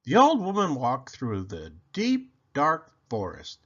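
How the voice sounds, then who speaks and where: A man reading a children's picture book aloud expressively, with theatrical, deliberate phrasing, on slightly dull, lo-fi audio. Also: mid-range voice, wildly swooping pitch.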